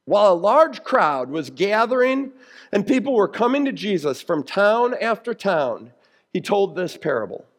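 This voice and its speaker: A man's voice: high voice, sing-song, moderate pace. A man preaching as a pastor, explaining scripture in a plain-spoken, conversational way with everyday examples. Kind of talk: conversational expository sermon.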